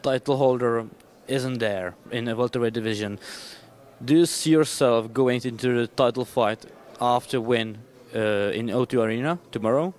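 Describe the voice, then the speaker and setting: A man's voice: mid-range voice, moderate pace, darkish tone. A man working as a sports reporter, putting plain, direct questions to a fighter in English. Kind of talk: interview questions.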